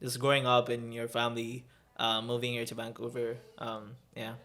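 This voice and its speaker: A man's voice: medium pitch, monotone, moderate pace, pushed and bright. A man hosting a podcast, asking questions in an easygoing way with many fillers. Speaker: podcast host. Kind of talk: casual interview talk.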